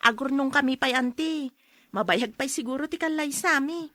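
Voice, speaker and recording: A cheeky young niece in a radio drama. Her voice is high and bright, recorded close and dry. She has an Ilocano accent and a playful, nagging way of talking. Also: normal speed, no echo. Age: young adult.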